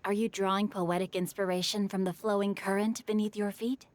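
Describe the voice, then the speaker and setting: A woman's voice: mid-range voice, little pitch movement, a little fast, lightly acted. A woman voicing a polite, bookish, well-bred teenage boy in a video game, speaking formally and eloquently. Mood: curious. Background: game music.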